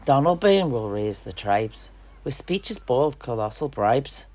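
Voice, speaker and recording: A man playing a solemn noble conspirator, declaiming rhyming mock-Shakespearean verse with deliberate emphasis. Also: medium pitch, very animated, darkish tone. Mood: resolute.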